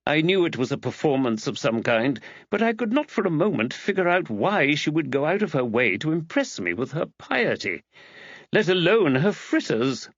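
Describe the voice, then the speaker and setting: An arch village woman priest, voiced by a male narrator, pitched high and swooping as she gossips. Her mimicry is wry and exaggerated, in storybook dialogue with slightly dull sound. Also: sing-song, normal speed, dry recording. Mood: mocking. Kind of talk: audiobook character dialogue.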